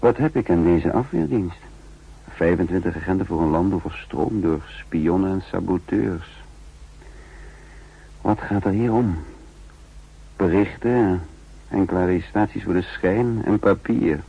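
An actor playing a shrewd, sardonic counter-espionage chief in a 1960s radio drama, musing dryly with thoughtful hums. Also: male voice, low voice, measured pace, vocal fry, long pauses, husky, exaggerated voice. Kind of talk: 1960s Dutch radio drama acting with narration. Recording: dull, noisy 1960s radio recording.

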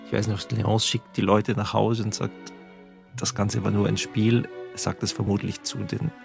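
Flat, low, gravelly man remembering aloud. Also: normal speed, faint music behind. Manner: musing, hesitant, with restarts. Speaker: interviewee recalling a theatre production. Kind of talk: reflective spoken recollection.

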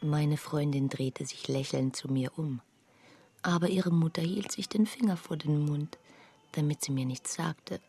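Husky female radio play storyteller. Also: low voice, measured pace, warm tone, full character voice. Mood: quiet wonder. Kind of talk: literary radio play narration.

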